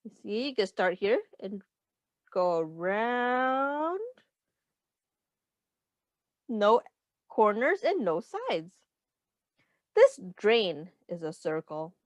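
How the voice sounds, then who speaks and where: A woman's voice: mid-range voice, big pitch swings, drawn-out words, pauses often, dry recording. A woman reading a picture book aloud as a teacher, explaining simply to young children and asking them questions. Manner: simple instructive phrasing aimed at young children.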